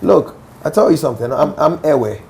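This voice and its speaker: A man's voice: a little high, expressive, moderate pace, creaky, darkish tone, slight background noise. A young Ghanaian man with a Ghanaian accent, a musician answering interview questions assertively, often checking "do you understand?". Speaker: musician guest.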